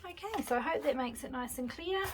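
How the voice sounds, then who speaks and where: A woman's voice: high-pitched, brisk, slight background noise. Chatty woman demonstrating a craft. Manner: chatty, relaxed, thinking aloud while working. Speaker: craft tutorial host. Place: craft table indoors, hands seen from overhead camera.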